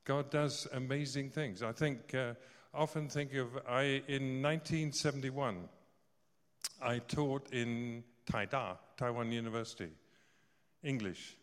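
A man's voice: mid-range voice, steady tone, measured pace. A man in his sixties preaching a sermon, telling stories with joking asides. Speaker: visiting preacher. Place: church service hall. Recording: long reverb of a large hall.

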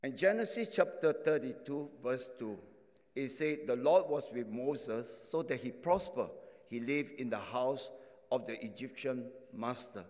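A man's voice: mid-range voice, animated, darkish tone. Man preaching in an echoing hall. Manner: non-native English phrasing, halting restarts. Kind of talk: sermon with testimony.

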